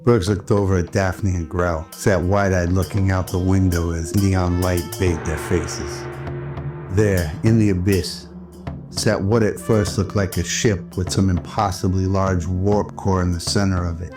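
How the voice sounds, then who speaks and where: A man's voice: low voice, steady tone, a little slow. A man giving scripted dramatic narration in the style of an old radio drama. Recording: loud music under the voice. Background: background music.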